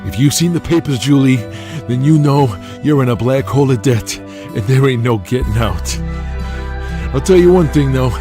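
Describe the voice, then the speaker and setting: A man acts out a vengeful brawling wrestler for an audio drama. He is menacing and profane, pushed well above the reader's own pitch, with music underneath. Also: moderate pace, close to an everyday voice. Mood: bitter gloating. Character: brash, vengeful brawling wrestler man, threatening and foul-mouthed.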